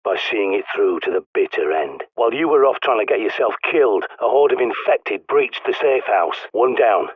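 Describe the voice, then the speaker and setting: Game role: a husky, low-voiced British prepper on the radio, blunt, cynical, profane. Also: male voice, animated, moderate pace, few pauses, dry recording. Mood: gruff. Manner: sardonic, blunt, swears often. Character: gruff male survivalist radio guide, cynical. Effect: radio transmission. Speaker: radio guide character. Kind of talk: video game voice acting. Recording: tinny radio line.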